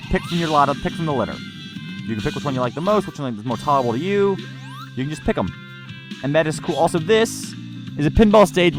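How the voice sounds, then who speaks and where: Guy doing casual lets play commentary, kinda high voice, rambly and keeps restarting sentences, game music going behind him. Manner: rambling, repeats and corrects himself mid-sentence. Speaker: let's play narrator reviewing gameplay. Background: game music under the voice.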